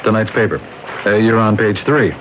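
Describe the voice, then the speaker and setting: A man's voice: lowish voice, fairly even intonation, moderate pace, lightly acted. A man playing a shrewd, unruffled police superior in a 1970s radio drama, with a matter-of-fact manner. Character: pragmatic male police boss, shrewd and unruffled. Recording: dull, noisy 1970s radio broadcast.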